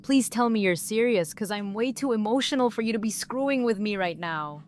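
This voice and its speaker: A woman's voice: medium pitch, animated, fairly quick, a little hiss or hum, close to an everyday voice. A woman playing an emotional, sarcastic, blunt mother-to-be in an audio drama. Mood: tearful joy.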